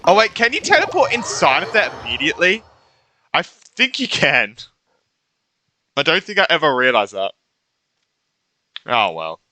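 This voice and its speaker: Casting: male gamer commentator, very bright mid-pitched voice, lively and chatty, thinking aloud. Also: moderate pace, long pauses, dry recording. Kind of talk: casual gameplay commentary.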